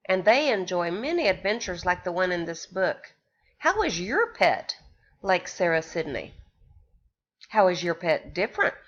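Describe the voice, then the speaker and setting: A woman reads a rhyming picture book to little children in a slow, sing-song teacher's voice. Her pitch swings widely as she stops to ask the kids questions. The recording sounds dull and lo-fi. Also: a bit bright. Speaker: pre-K teacher. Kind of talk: reading a picture book aloud to young children.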